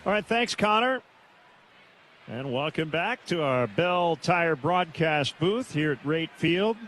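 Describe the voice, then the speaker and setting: A man with a fairly high voice calls a baseball game live for radio. He works as the play-by-play announcer from a stadium booth, with noticeable ambient noise behind him. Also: expressive, slow pace, a bit bright. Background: outdoor ambient stadium noise.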